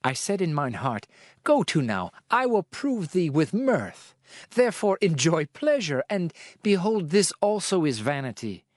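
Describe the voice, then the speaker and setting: An actor playing a world-weary, reflective king called the Preacher in a dramatized scripture reading, solemn, measured and declamatory. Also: on the high side, big pitch swings, no room sound, clean studio sound.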